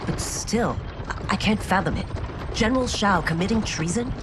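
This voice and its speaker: An actress playing a proud former royal guard turned constable chief in a game cutscene, speaking formally and earnestly with firm resolve. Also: female voice, medium pitch, expressive, moderate pace, background noise, close to an everyday voice. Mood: troubled, resolute. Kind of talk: dramatic video game cutscene acting. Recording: orchestral game music behind.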